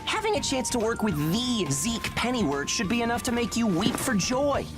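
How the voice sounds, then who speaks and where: A man's voice: very high-pitched, very animated, normal speed. A man playing a brash, friendly young fighter in a video game, chatty, teasing and boastful. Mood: boastful, playful. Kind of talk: video game cutscene voice acting. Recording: music behind the voice.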